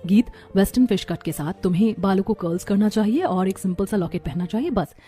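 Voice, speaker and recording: A woman voicing polite young women of an Indian family in a cartoon story, with expressive delivery. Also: mid-range voice, fast talker, faint music behind, close to an everyday voice. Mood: chatty. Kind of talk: scripted cartoon story dialogue.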